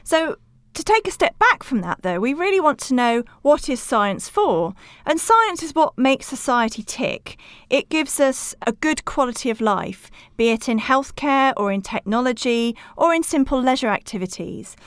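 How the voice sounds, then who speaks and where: A woman from Wales speaks as an interview guest. Her voice is smooth and fairly high, and she explains in an articulate, orderly way. The recording is close and dry. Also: animated, normal speed, dry recording. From rural Wales. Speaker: interview guest, science outreach organiser.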